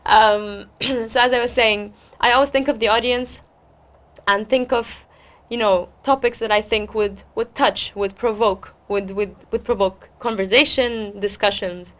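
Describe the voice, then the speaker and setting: A woman with a fairly high voice, speaking as a poet and guest. She talks in an expressive, emphatic way, often repeating words to give them weight. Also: measured pace. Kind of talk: interview talk and spoken-word poetry recital.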